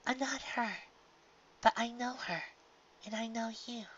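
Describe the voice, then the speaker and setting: A woman voicing a gentle, sympathetic pony apparition in an audio story, with a soft, smooth, hushed and sorrowful delivery. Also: fairly high pitch, a little slow, muffled recording, a little hiss or hum. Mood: tender, sorrowful.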